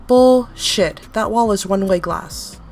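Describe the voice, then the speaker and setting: A woman's voice: mid-range voice, measured pace, darkish tone, natural voice. A woman voices a guarded strange being in a horror story reading. It talks warily and suspiciously. Faint music plays behind. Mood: wary.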